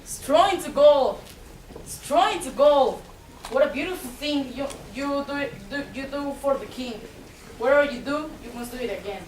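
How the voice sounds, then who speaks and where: A woman playing a stern, demanding king, speaking in a slow, emphatic commanding way in an amateur play. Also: high-pitched, expressive, a little mellow. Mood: greedy, insistent. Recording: distant echoey room, clear background noise.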